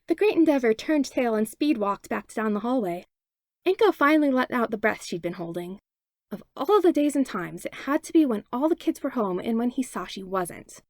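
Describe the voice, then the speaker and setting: A woman narrating a fanfiction audiobook in an expressive read-aloud manner on a close mic. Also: a little high, normal speed, dry recording. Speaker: fanfiction podfic reader. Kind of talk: storybook narration.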